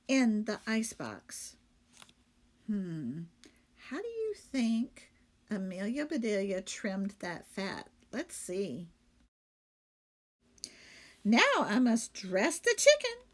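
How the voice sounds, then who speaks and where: A woman teacher reading a children's story aloud, pausing to question and explain to young listeners. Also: fairly high pitch.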